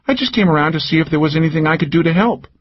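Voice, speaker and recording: A man's voice: fairly high pitch, racing, muffled recording, slight background noise, pitched above the performer's own voice, natural voice. A man putting on a brash flirty woman. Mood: teasing. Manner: brash, teasing, lively. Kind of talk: audiobook character voice.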